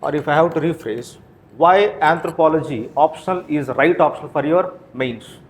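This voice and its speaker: Indian guy teaching an exam class, slightly high voice, keeps asking questions and checking with right?. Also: normal speed, a little hiss or hum. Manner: didactic, poses questions and answers them himself; frequent tag questions like "right?" and "okay?". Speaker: coaching teacher. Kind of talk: classroom lecture to exam students.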